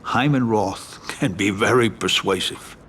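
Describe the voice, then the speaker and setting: A man's voice: on the low side, measured pace, fairly bright tone, slight background noise. A man playing a shrewd, level-headed mafia family adviser in a video game cutscene, speaking in a matter-of-fact, advisory way. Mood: measured warning. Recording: music under the voice.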